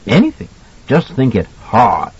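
Audiobook part: jovial avuncular mentor, acted by a male narrator, husky and colloquial, lively intonation, lo-fi recording. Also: mid-range voice, a little slow, exaggerated voice. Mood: curious, coaxing. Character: jovial, kindly older man, folksy mentor. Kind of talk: audiobook character acting.

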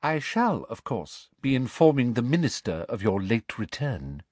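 Man voicing a high, prim, officious woman inspector. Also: very animated, a little slow, a little mellow, dry recording. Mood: cold. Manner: prim, pompous, condescending. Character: coldly officious, falsely sweet woman school inspector.

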